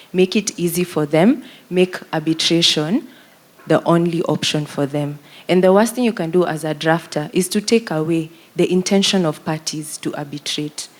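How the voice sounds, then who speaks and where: A woman speaking on a legal panel, giving emphatic, deliberate advice. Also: fairly low pitch, a little mellow. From Kenya. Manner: emphatic, advising with deliberate stress. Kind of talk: panel discussion talk.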